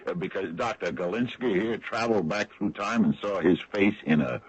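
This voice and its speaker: A man's voice: low voice, normal speed, breathy edge. A man playing a skeptical, loyal small-town American sheriff in a radio drama, folksy and a little hesitant. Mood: stubborn, defensive. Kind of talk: 1980s radio drama acting. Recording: dull, noisy old radio broadcast.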